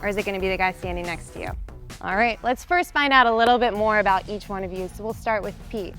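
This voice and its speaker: A woman's voice: mid-range voice, quick pace, a bit bright, soft background music. A woman hosting a TV fitness competition, reading scripted lines in an upbeat, announcing way that builds suspense. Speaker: competition show host.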